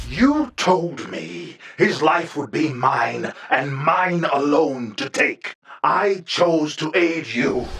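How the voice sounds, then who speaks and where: An echoing, vengeful villain. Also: male voice, a little high. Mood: bitter outrage. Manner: bombastic, taunting, melodramatic. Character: vengeful, obsessive villain hunting his nemesis. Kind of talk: video game villain acting. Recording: echoey, distant voice under loud music.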